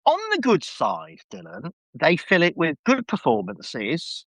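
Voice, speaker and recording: A man's voice: fairly high pitch, wildly swooping pitch, a little slow, fairly bright tone, no room sound. A man chatting casually as a podcast guest, giving an enthusiastic fan review full of rambling asides.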